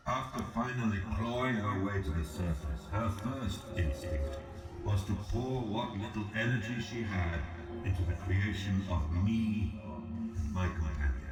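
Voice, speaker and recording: A man voicing an aloof, imperious ancient god in a video game, speaking in a solemn, formal and deliberate way. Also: lowish voice, close to an everyday voice. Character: ancient exiled male god-emperor, aloof, measured, imperious. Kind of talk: scripted video game dialogue. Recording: echoing, distant voice over music.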